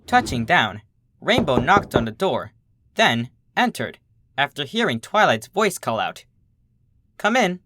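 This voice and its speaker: A narrator reading a scripted story in an expressive storytelling manner. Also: mid-range voice, unhurried, slight background noise. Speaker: fan fiction reader.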